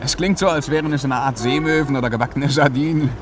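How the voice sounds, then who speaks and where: An actor playing a breezy, offhand young clerk in a German radio play. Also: male voice, mid-range voice, fast talker, close to an everyday voice. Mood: breezy, amused. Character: breezy young male bank clerk, unaware of his visions. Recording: noisy outdoor city and boat ambience. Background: outdoor urban and boat sounds.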